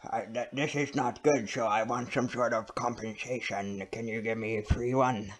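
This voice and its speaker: A man's voice: medium pitch, fairly even intonation, normal speed, close to an everyday voice. A man voicing a confused, cranky old man who stammers and insists his way through a prank phone call. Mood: indignant. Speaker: prank caller. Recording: noisy lo-fi recorded phone prank.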